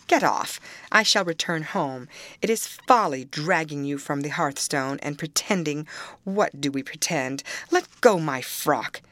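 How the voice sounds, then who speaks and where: A woman's voice: on the low side, a bit bright, no echo. A woman reading aloud, voicing a proud young woman who scolds sharply and demands answers.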